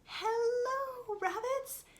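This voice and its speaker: A woman voicing an eager, polite little country mouse, a curious boy with a bright, earnest, childlike manner, for a children's story. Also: very high voice, very slow, voice pushed up, full character voice. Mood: friendly, hopeful.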